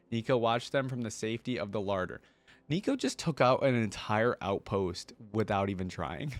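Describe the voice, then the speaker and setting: A man hosting a reaction video, reading a story aloud with animated delivery and breaking off for casual comments. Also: mid-range voice, no room sound.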